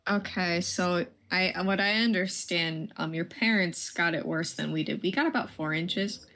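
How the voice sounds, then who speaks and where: A woman's voice: on the low side, moderate pace, fairly bright tone. A woman co-hosting a livestream in casual chat, telling little stories and acting out reactions as she goes. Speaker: livestream co-host.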